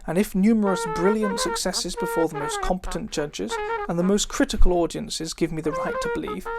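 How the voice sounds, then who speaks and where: Low female voice, formal read-aloud, occasional stumbles, wildly swinging pitch, loud cornet playing throughout. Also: huge pitch swings, brisk, loud music behind the voice. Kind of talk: reading an old instrumental method book introduction aloud. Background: live brass cornet playing.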